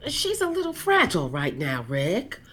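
An actress playing a concerned theater colleague in a comedy. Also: female voice, fairly low pitch, huge pitch swings, moderate pace, a bit bright, breathy edge, full character voice. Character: worried woman theater colleague.

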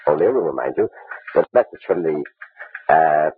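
An actor playing a suave, inquisitive gentleman writer in an old-time radio drama, formal, deliberate and insistently polite. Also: very deep voice, animated, husky, soft background music, full character voice. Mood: courtly, probing. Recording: tinny, hissy old radio recording.

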